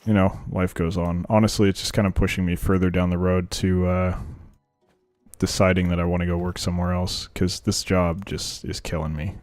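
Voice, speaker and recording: A man's voice: low voice, little pitch movement, normal speed, a little mellow, no room sound, studio quality, faint music behind. A young man with a sore throat, chatting and venting casually while playing a game. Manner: rambling, venting, frank. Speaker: gaming YouTuber. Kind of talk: casual gameplay commentary.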